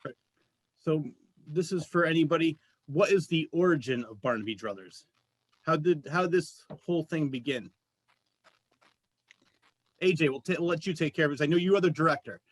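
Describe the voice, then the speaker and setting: A man's voice: fairly high pitch, moderate pace, long pauses, dry recording, low-quality recording. A man hosting an interview show, asking questions in a conversational style.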